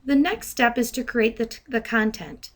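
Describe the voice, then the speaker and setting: A woman with a fairly high voice narrates a software training tutorial. She speaks as an instructor, methodically guiding the listener through each step. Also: normal speed.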